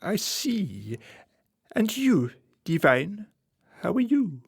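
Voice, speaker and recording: A man as a slow, creaky, suave schemer. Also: high-pitched, sing-song, no room sound, clean studio sound, exaggerated voice. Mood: smooth, persuasive. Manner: formal, measured, deliberate phrasing. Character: suave, calculating male political fixer, courteous but menacing. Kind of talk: radio play acting.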